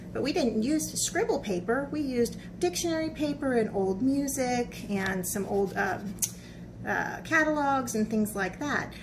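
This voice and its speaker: Lively high-pitched woman teaching children. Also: background noise. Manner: simple explanations with frequent check-in questions to children. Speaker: children's art teacher and storybook reader. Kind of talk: read-aloud and step-by-step craft lesson for young children.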